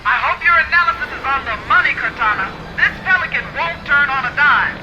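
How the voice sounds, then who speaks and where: A woman's voice: high-pitched, lively intonation, fairly quick, highs cut off, over music. A woman playing a skeptical, down-to-earth military pilot in a video game, speaking dryly through a radio filter. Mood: doubtful. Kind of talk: video game cutscene acting.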